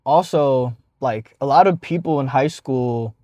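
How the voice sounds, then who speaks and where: A man's voice: mid-range voice, measured pace, mellow, no room sound. Young man who stutters, telling a story. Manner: stutters, with many fillers and restarts. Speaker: vlogger. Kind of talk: casual storytime vlog.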